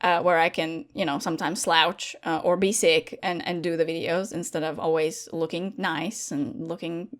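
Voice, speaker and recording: Chatty young woman, lowish voice. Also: normal speed, few pauses. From Latvia. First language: Latvian. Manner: chatty and animated, many fillers and restarts. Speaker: guest content creator. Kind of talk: casual interview chat.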